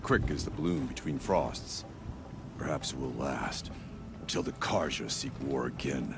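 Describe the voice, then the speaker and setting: Very deep, stern war chieftain. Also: male voice, a little slow, vocal fry, noisy background, exaggerated voice. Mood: proud, grave. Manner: grave, terse, commanding. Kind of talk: video game voice acting.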